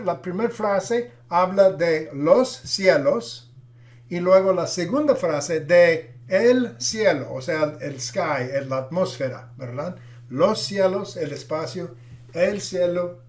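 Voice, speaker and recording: Slow, halting pastor teaching in Spanish. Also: male voice, high voice, a little mellow. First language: not Spanish. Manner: halting, many fillers and restarts. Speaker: pastor teaching a Bible class. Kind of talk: classroom Bible teaching.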